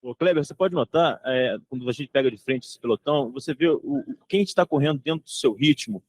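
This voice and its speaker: A man's voice: medium pitch, fairly quick, dry recording, lightly acted. A man voicing an observant race commentator with a Brazilian accent, analysing runners in live sports commentary style. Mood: analytical.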